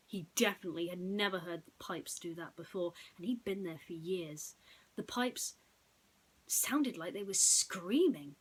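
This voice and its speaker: A woman's voice: lowish voice, a bit bright, breathy edge, low-quality recording. A woman storyteller narrating a bedtime story in a dramatic, suspenseful way.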